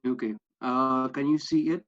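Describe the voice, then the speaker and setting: A man's voice: medium pitch, steady tone, normal speed, fairly bright tone. A young man from Kenya with a Kenyan accent, earnestly presenting an online workshop over a video call, with many fillers and restarts. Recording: slightly dull lo-fi sound.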